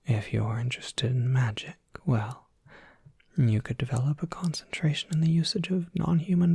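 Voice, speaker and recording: An ASMR performer playing a courteous, soft-spoken university professor, whispering in formal, measured phrases with a breathy, husky voice. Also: medium pitch, dry recording, studio quality, full character voice. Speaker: professor overseeing a college major.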